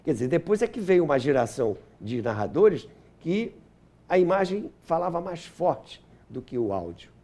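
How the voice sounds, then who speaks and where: A man's voice: a little high, sing-song, normal speed, dark tone. An older man with a Brazilian accent telling anecdotes and explaining history as an interview guest, repeating phrases for emphasis.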